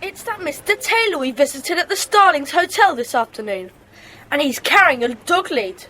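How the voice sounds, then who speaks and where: A woman's voice: high-pitched, lively intonation, moderate pace, sharp tone, a little hiss or hum. A woman playing a keen, observant, eager schoolboy in a children's detective audio drama.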